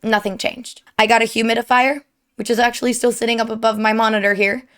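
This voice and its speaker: A woman's voice: on the high side, level tone, quick pace, a bit bright, clear, resonant tone. A young woman with a sore, strained throat giving an earnest, rambling update to her viewers from her desk at home. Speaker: streamer and YouTuber. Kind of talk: candid personal update to viewers.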